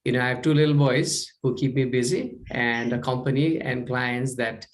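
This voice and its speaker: A man's voice: medium pitch, steady tone, normal speed. A man from Nepal with a Nepali accent speaks conversationally as an interview guest, explaining things with frequent "you know" and "right?" tags. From Kathmandu, Nepal. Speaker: company co-founder being interviewed.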